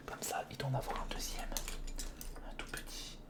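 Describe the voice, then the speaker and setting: A man whispering a casual, improvised ASMR build-along, muttering hesitantly to himself and chatting to the viewer in a breathy, mostly voiceless voice. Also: moderate pace. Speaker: ASMR video host.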